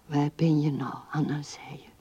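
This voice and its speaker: An actress playing an old widow musing tenderly and haltingly at her husband's grave in a radio drama, her soft voice often dropping to a hush. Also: low voice, level tone, slightly rough. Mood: tender, grieving. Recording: dull old radio recording.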